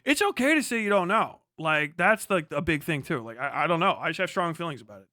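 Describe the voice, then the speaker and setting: A man's voice: on the high side, expressive, fast talker, sharp tone, no room sound, studio quality. A man hosting a casual livestream, giving blunt, profane, slangy political commentary and talking back to chat. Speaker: livestream host.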